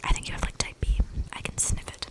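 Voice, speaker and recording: A woman's voice: fast talker, lightly acted. Woman playing a whispering, rambling young vampire. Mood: casual, sly. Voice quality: breathy whisper with creaky edges. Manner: rambling, casual slangy delivery with many likes. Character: eccentric, chatty young female vampire on the run. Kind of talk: whispered ASMR roleplay monologue. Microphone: close microphone with audible breathing.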